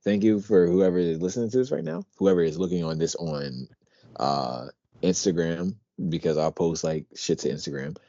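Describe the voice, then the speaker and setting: A young man from Pennsylvania co-hosting a podcast, talking in a deep, quiet voice through his teeth, relaxed and rambling. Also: normal speed, no room sound, muffled recording. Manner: relaxed, rambling, swears casually. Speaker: podcast co-host. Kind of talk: casual chat.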